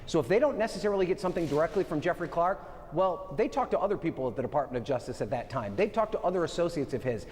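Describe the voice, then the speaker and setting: Higher-voiced male reporter in reverberant space. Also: a little mellow. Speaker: congressional news reporter. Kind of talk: live news reporting.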